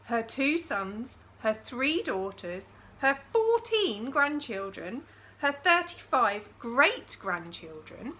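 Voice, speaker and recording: Bright-voiced woman reading slowly to children. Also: on the high side, sing-song, slight background noise. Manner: addresses young listeners with questions and dramatised sound words. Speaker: storyteller reading a picture book aloud. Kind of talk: expressive children's read-aloud with chatty asides.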